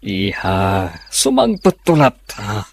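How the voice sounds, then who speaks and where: A man's voice: lowish voice, wildly swooping pitch, slow pace, exaggerated voice. A man playing a patient but firm middle-aged father in an Ilocano radio drama. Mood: firm reassurance.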